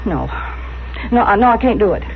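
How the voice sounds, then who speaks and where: A woman's voice: medium pitch, sing-song, a little fast, vocal fry. An actress playing a weary, frightened outlaw's woman, plaintive and American, in a 1960s Western radio drama. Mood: fearful, reluctant. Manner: plaintive, emotional. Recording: noisy narrow-band vintage radio recording.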